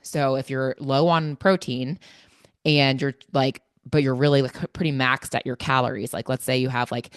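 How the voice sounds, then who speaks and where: A woman's voice: extremely low pitch, steady tone, moderate pace, smooth, no room sound. A woman hosting a casual solo podcast on nutrition, sounding stuffy and congested, chatty and informal with lots of fillers. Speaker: podcast host and fitness coach.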